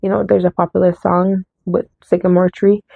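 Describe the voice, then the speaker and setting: A woman's voice: fairly low pitch, little pitch movement, moderate pace, creaky, husky, no echo. A woman chatting casually about her book haul, rambling and often correcting herself, slightly nasal from a cold. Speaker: book vlogger. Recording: dry, tinny phone-like sound.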